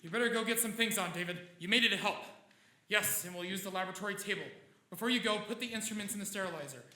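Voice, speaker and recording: High-pitched, driven radio-drama scientist. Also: male voice, fast, pushed and bright. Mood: brisk commanding. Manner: commanding, urgent, theatrical. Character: driven, cold scientist father obsessed with brain experiments. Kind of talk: old-time radio drama acting, live on stage. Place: theatre stage. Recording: echoey live stage recording with music.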